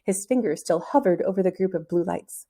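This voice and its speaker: A woman reading a children's book aloud as an expressive storyteller who acts out the dialogue. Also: lowish voice, brisk. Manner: animated, acting out the dialogue.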